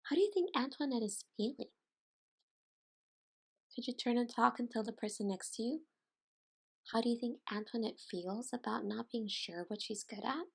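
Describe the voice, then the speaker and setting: A woman teacher doing an interactive storybook read-aloud, expressive and deliberate, pausing to put questions to young listeners. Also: fairly high pitch, vocal fry, breathy edge. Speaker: teacher reading aloud to children.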